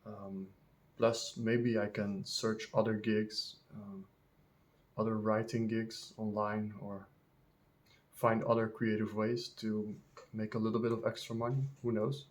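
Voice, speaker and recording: A Dutch man in his thirties, a native Dutch speaker talking in English, vlogging in a casual unscripted monologue full of ums, pauses and restarts. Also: fairly low pitch, level tone. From Netherlands. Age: 30s. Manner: halting, many ums and restarts.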